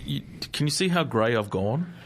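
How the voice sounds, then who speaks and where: A man, co-hosting a casual motorsport chat in a radio studio, animated and quick to laugh. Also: mid-range voice. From Australia. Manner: chatty, laughs easily, animated. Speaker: co-host.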